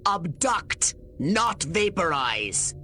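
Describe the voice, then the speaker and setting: An actor playing a scheming, imperious alien scientist in a video game, pompous and theatrical, easily exasperated. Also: male voice, high-pitched, big pitch swings, drawn-out words, sharp tone, faint music behind, heavily acted. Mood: exasperated, commanding. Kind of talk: video game cutscene acting.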